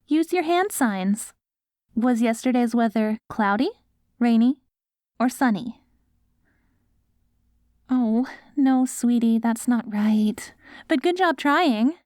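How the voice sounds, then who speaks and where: Casting: woman to play doting postapocalyptic wife for ASMR roleplay, higher-pitched voice, sweet and patient coaxing, slow delivery. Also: animated, a little mellow, no echo, studio quality. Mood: patient, encouraging. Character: doting wife caring for her ghoul husband after apocalypse. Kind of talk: ASMR roleplay audio drama.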